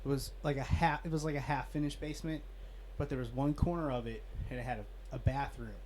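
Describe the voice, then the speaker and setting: A man telling a story at a middle pitch, pausing to build suspense and cutting in with "listen" to hold the floor. There is faint noise in the background. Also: steady tone, a little mellow. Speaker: guest.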